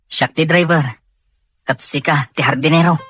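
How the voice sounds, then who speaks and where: A man acting a questioning partner in crime in an audio drama, with a slightly husky voice. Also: a little high, fairly even intonation, moderate pace, bright, forceful tone, soft background music. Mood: doubtful. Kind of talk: acted dialogue in an audio drama.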